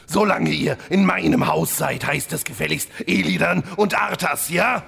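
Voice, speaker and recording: A man's voice: fairly high pitch, normal speed, vocal fry, fairly bright tone, husky, heavily acted. A man playing a vain, menacing demon-hunter villain lord, pompous and petulant, in a fantasy parody audio drama. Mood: irritated.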